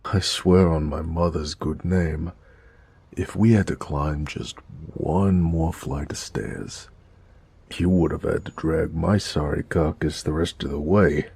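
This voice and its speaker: Man acting as big noir gangster, very slow drawl, gravelly, affectionate, wisecracking, weary, intimate audio drama. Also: a little mellow, full character voice. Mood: weary, teasing. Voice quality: deep, gravelly, breathy. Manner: slangy, drawling, sighing, teasing.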